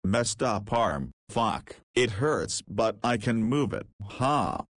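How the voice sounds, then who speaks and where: A man's voice: on the low side, lively intonation, slow pace, fairly bright tone, no echo, clean studio sound, heavily acted. A man playing a cocky, crude nineteen-year-old in a visual novel, rambling wryly in slangy talk.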